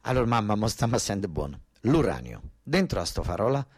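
Southern italian guy doing a one-man theatre monologue, super animated, emphatic, repeats stuff over and over, folksy. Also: on the low side, quick pace, husky. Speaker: solo stage actor and storyteller. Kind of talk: theatrical monologue in dialect-tinged, colloquial Italian.

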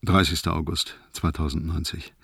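An actor playing a grave, authoritative spaceship commander with a husky voice in a science-fiction radio drama. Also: male voice, very deep voice, flat delivery, moderate pace, fairly bright tone, no echo, clean studio sound, exaggerated voice. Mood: measured, solemn. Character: grave spaceship commander reporting on a doomed crew.

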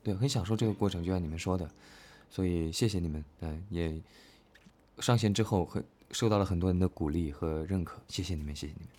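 A male voice actor talking casually and reflectively about his work, earnest and halting, often repeating his phrases. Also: low-pitched, a little mellow. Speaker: voice actor discussing an audio drama. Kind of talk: casual, reflective cast chat.

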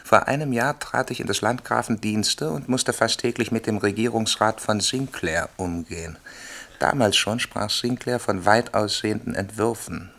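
An actor playing an obsequious, scheming informer, formal and officious, in a German radio drama. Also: male voice, on the low side, a bit bright. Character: obsequious, scheming male informer denouncing conspirators.

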